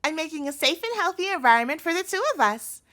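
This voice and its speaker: Yandere girlfriend, played by a woman, audio roleplay. Cloying, controlling devotion; high-pitched, very animated delivery, pleading and doting. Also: fast talker. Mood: soothing, controlling. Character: sweetly possessive yandere girlfriend, a doting chef captor.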